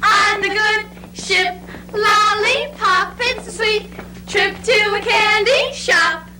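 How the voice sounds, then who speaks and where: A woman's voice: very high-pitched, takes it slowly, bright, forceful tone, full character voice. A woman playing a perky 1930s child film star in a cutesy sing-song, on a noisy stage recording. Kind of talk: child star impression in readers theatre.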